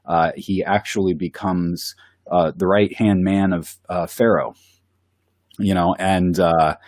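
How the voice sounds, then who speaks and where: Man with a cold, very low voice, dry close sound, eager casual chat. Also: steady tone, takes it slowly, a little mellow, dry recording. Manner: enthusiastic, with filler words and laughs. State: has a cold. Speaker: bible study co-host.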